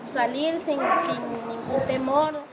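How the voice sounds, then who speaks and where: A woman from Colombia speaks in short, heartfelt phrases. Her voice is high, and loud background noise nearly covers it. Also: measured pace. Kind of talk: interview statement.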